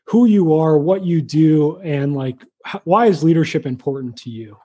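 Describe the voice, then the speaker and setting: A man's voice: a little high, normal speed, mellow, husky. A man co-hosting a podcast, reading an enthusiastic intro and chatting casually with guests, from Canada. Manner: enthusiastic, repetitive emphasis. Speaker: podcast co-host.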